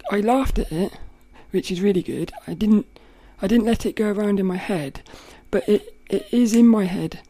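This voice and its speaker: A woman with a rough, cracking voice, hosting a podcast and thinking aloud in a rambling way, often laughing. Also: medium pitch, normal speed, few pauses, warm tone, no echo. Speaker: podcast host. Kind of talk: casual reflective monologue.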